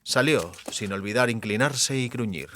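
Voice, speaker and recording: A man's voice: on the low side, moderate pace, pushed and bright. A man narrating a fantasy novel as scripted audiobook narration.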